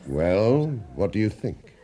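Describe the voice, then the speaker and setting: An actor playing a suave, coolly amused gentleman onlooker in a radio drama, gravelly and archly measured. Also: on the low side, sing-song. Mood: curious.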